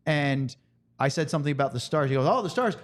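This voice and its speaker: A man's voice: on the high side, animated, fast. A man hosting a sports podcast, talking in a casual, bantering style. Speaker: podcast host.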